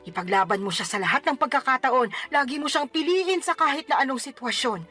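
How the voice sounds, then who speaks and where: A woman's voice: high voice, expressive, brisk, sharp tone. A woman playing an older mother and grandmother in a radio drama, playful and teasing, then firm and lecturing. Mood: stern. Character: teasing, doting older grandmother, stern when needed. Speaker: mother character.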